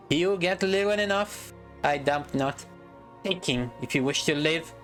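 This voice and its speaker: A man voicing a proud, wrathful dwarf king who shouts in anger. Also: fairly high pitch. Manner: stern, shouting in rage.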